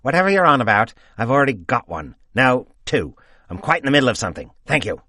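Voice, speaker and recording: A man's voice: medium pitch, very animated, brisk, no echo, clean studio sound, pitched above the performer's own voice. A man voicing a brusque young man in his late twenties who speaks curtly and scornfully. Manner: curt and clipped, turning scornful and lecturing.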